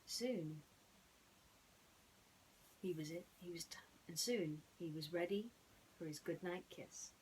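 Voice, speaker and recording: A woman hosting and narrating bedtime stories in a gentle storytelling style. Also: fairly low pitch, slow, pauses often, slight background noise, poor audio.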